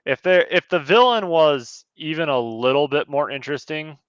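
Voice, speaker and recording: A man's voice: fairly high pitch, lively intonation, moderate pace, fairly bright tone, clear, resonant tone, dry recording, dull audio. A man chatting casually as a panelist in a film review discussion. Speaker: podcast panelist.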